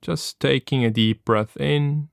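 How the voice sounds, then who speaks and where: A man leading a guided meditation as an instructor, speaking calmly and gently with long, unhurried pauses. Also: mid-range voice, creaky, no echo, studio quality.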